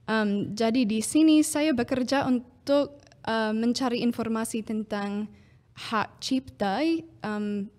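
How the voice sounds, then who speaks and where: A woman from the United States, a native English speaker with an American accent, giving casual interview answers and pausing to find words. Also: on the high side, fairly even intonation, a little mellow. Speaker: interview guest, researcher and librarian. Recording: light ambient background noise.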